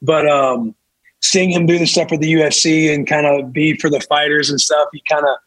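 A young man with a raspy voice, a former football player talking candidly as a podcast guest with lots of fillers. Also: fairly high pitch, steady tone, moderate pace, fairly bright tone, dry recording, low-quality recording. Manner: candid, laid-back storytelling with frequent fillers. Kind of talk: casual chat.

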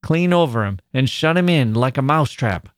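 A man's voice: mid-range voice, expressive, normal speed, dry recording, studio quality, pitched above the performer's own voice, close to an everyday voice. A man voicing a grave male doctor who speaks solemnly and with emphasis.

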